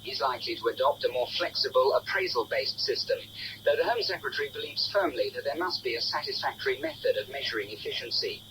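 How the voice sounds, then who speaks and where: A man's voice: medium pitch, very animated, quick pace. A man delivering a scripted report as a radio news correspondent. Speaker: home affairs correspondent. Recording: noisy old radio broadcast.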